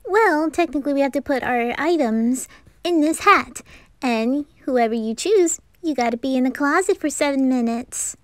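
A woman's voice: sits high, animated, moderate pace, no echo. A woman playing a perky, teasing teenage pop-idol girl in a hobby anime audio drama. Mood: playful, teasing. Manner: bubbly, teasing.

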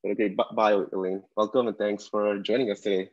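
A man's voice: fairly low pitch, little pitch movement, quick pace, no room sound. A man in his thirties hosting a live online interview, reading introductions with small slips, on a video call from a room. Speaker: event host. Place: indoor room at a desk chair. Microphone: webcam on a video call. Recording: dull lo-fi video call audio.

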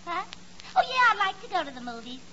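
High-pitched, brash gabby young woman. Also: very animated, quick pace, pushed and bright, full character voice. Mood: chatty. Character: dim, vain, man-hungry young tavern woman. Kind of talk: 1940s radio comedy acting. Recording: noisy, muffled 1940s radio recording.

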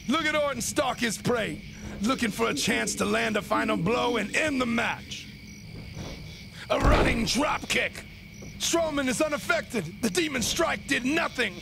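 An actor playing a gleeful, theatrical villain who commands monsters and gives melodramatic, sinister commentary on a wrestling match in a video game. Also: male voice, extremely high pitch, gravelly, noisy background, heavily acted. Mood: excited, sinister. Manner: melodramatic, sinister, cackling, drawn-out exclamations.

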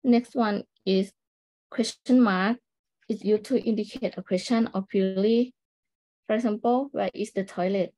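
A woman's voice: medium pitch, fairly even intonation, a little slow, warm tone, rough recording. A young adult woman, a student, reading a scripted class presentation aloud.

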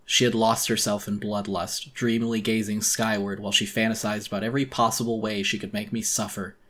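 Male narrator for dramatic horror reading. Intense, deliberate, slightly deep, with a tense forceful edge. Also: level tone. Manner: intense, theatrical, deliberate storytelling. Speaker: audiobook narrator.

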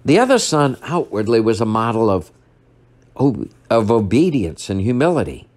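An older man, a priest, delivering a sermon at a church lectern, retelling a story in a measured way and slowing down for emphasis. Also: medium pitch, big pitch swings, a little mellow.

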